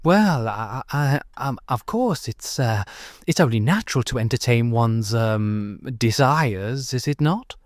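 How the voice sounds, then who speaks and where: A man's voice: mid-range voice, lively intonation, a little slow, dry recording, clean studio sound, close to an everyday voice. A man playing a polite, awkward suburban husband in a comic audio play, hesitant and stammering as he gets flustered.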